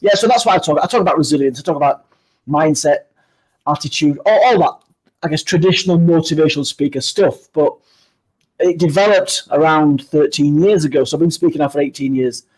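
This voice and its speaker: A man's voice: fairly high pitch, a little fast, darkish tone, slightly rough, poor audio. A man in his fifties with a Yorkshire accent, a down-to-earth guest speaker answering interview questions reflectively. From Yorkshire, England. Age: 50s.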